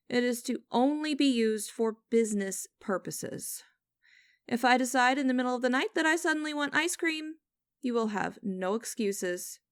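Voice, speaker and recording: A woman playing a cold, condescending female boss in an ASMR audio roleplay, speaking to the listener in a deliberate, commanding, mocking way. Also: on the high side, a bit bright, no echo. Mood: smug, condescending. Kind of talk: ASMR roleplay acting, direct address to listener.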